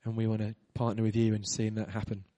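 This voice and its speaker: A man's voice: on the low side, monotone, fast talker, warm tone. A man introducing the speaker and then leading an earnest spoken prayer in church. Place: church hall. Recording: reverberant church hall.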